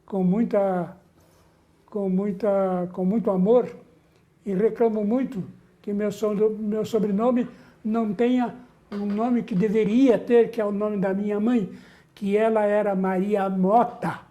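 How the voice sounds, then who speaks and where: An older man from southern Brazil, a veteran bandleader being interviewed, who speaks haltingly and repeats words, affectionate and sentimental. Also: high voice, steady tone, mellow. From Rio Grande do Sul, Brazil. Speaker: guest, veteran musician and bandleader. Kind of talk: conversational interview.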